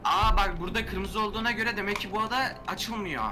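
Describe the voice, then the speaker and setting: A quick-talking gamer chats excitably through co-op play, firing off repeated short commands. The voice is echoey and away from the mic, with music clearly heard behind it. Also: medium pitch. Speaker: gaming video co-player. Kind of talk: casual co-op gameplay chat.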